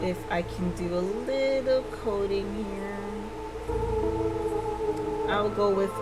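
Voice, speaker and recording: Unhurried woman streamer over clear music. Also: medium pitch. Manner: hesitant, thinking aloud in fragments. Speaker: livestream host. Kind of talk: casual live game-stream chat with viewers. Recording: background music under the voice.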